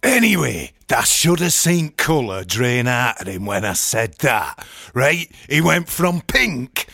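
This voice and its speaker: Bloke putting on a cocky yorkshire hard man actor, raspy, very up and down, close dry sound, comedy sketch. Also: mid-range voice, big pitch swings, slow, sharp tone, no echo, exaggerated voice. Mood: cocky, menacing. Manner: blunt, indignant storytelling with quoted back-and-forth. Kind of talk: comic character monologue.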